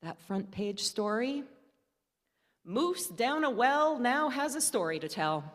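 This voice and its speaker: A woman preaching at a church service, telling stories and reading aloud in deliberate phrases with pauses and wry humor. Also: on the high side, very animated. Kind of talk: sermon storytelling, reading aloud from notes and a newspaper story. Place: indoor church service, speaking to a congregation. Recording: reverberant church hall.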